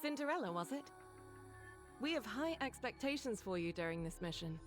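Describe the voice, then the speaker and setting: A woman's voice: on the high side, sing-song, normal speed, soft background music. A woman voicing a playful, polite female squad leader in a game. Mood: polite, composed.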